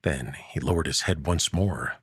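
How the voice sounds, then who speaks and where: A man narrating a scripted story in a dramatic, measured way with a gravelly, husky voice. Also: extremely low pitch, a bit bright, no room sound, clean studio sound.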